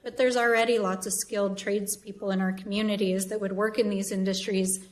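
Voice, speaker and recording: A woman's voice: mid-range voice, level tone, fairly quick. A woman asking a panelist a question in a roundtable discussion, in an earnest, questioning manner.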